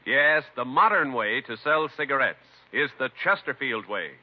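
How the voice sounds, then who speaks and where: A man's voice: medium pitch, expressive, normal speed. A man reading a scripted 1950s radio commercial with emphatic salesmanship. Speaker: commercial announcer. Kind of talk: 1950s radio advertising read. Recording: dull vintage radio recording.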